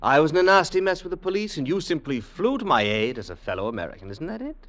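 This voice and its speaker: An actor playing a vain, sneering American private investigator in old radio style, with a mincing, sarcastic drawl. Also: male voice, a little high, very animated, quick pace, fairly bright tone. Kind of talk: 1940s-50s radio acting.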